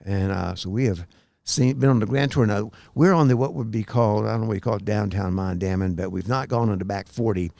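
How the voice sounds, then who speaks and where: A gravelly man in his sixties chats with his podcast partner, keeping the talk moving with questions and asides. His voice sits low and rough, recorded dry out in the open. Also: fairly quick, warm tone, no room sound. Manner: chatty, prompts his partner. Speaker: podcast co-host. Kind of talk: rambling casual chat. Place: outdoors on a lakeside tower.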